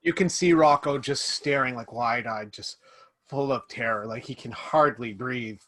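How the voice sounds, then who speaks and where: A man's voice: mid-range voice, a bit bright, no echo. A man narrating a tabletop game as game master over a webcam mic at home. He stretches words out for effect as he describes scenes. The sound is dry and close. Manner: slow, drawn-out descriptive narration. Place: home room indoors. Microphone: video call webcam mic.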